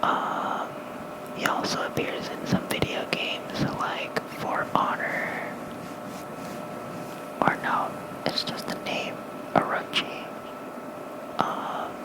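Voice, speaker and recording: An ASMR creator softly whispering lore as a bedtime reading with casual asides, close to a fluffy-covered microphone. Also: low-quality recording.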